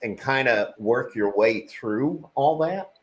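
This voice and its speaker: A man hosting a podcast interview, speaking slowly and rambling, with lots of small encouraging replies. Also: mid-range voice, animated. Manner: slow, rambling, with many backchannels. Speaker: podcast host. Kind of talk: casual interview conversation.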